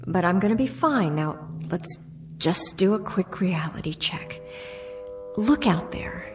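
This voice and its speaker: A woman's voice: fairly low pitch, animated, measured pace, over music. An actress playing an anxious, bewildered young woman in a radio drama, hesitant and stammering yet insistent. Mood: strained, determined.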